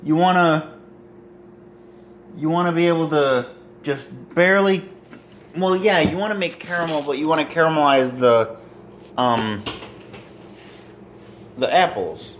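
Slow-talking young man in echoey room. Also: fairly high pitch. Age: 20s. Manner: halting, rambling, self-deprecating. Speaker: home cooking vlogger. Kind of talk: casual cooking demonstration to camera. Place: small home kitchen and dining room. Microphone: handheld or propped phone near arm's length. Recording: roomy echo, kitchen noise with sizzling.

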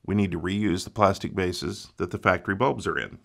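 Low-voiced man giving methodical repair steps. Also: brisk, vocal fry, a bit bright. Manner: methodical, plain instructional delivery. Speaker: repair instructor. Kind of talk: step-by-step repair tutorial.

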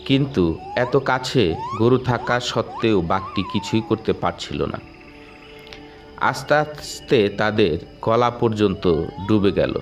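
A man narrating a children's moral story in a measured, moralizing way. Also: fairly low pitch, huge pitch swings. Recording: music under narration.

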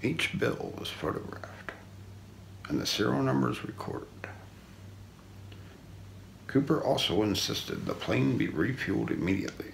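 A middle-aged man reading a scripted true-crime story as ASMR role play, in a measured, solemn documentary manner. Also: deep voice, creaky, a little hiss or hum. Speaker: narrator of an unsolved-mystery story. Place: small indoor room.

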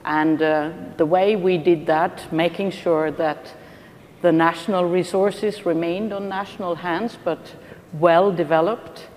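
A middle-aged Norwegian woman on a conference panel, speaking deliberately with frequent pauses. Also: fairly even intonation, a little mellow. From Norway. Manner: deliberate, hesitant with many uh pauses. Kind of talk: panel discussion. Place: large conference hall stage. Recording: reverberant hall, faint rumble.

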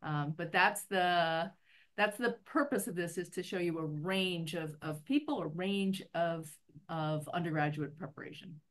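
Woman running a workshop on a video call, lowish voice, careful pace. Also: unhurried. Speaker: workshop moderator. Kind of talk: casual explanation.

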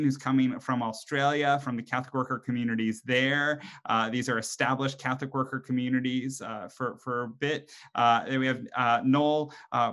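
A man moderating an online panel discussion informally over a video call, with halting, hesitant phrasing. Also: mid-range voice, fairly bright tone. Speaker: webinar moderator and host; college professor. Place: indoor room at home, video call. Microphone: computer microphone on a video call.

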